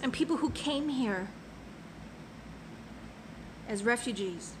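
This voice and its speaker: A woman telling a personal story in an earnest, impassioned way, swinging from soft to loud. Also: fairly high pitch, animated, slow, background noise. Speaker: passport office clerk. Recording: loud music under the voice.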